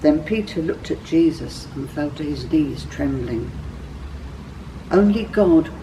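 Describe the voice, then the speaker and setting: A woman reading a scripted children's story as a church storyteller, with expressive, clearly phrased delivery. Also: deep voice, unhurried, recorded from a distance, noisy background.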